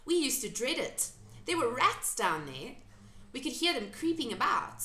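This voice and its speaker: A woman's voice: high voice, sing-song, normal speed, sharp tone, voice pushed up, full character voice. A woman voicing a frank, plucky little orphan girl in a children's storybook, answering plainly. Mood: bitter, frank. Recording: roomy home echo.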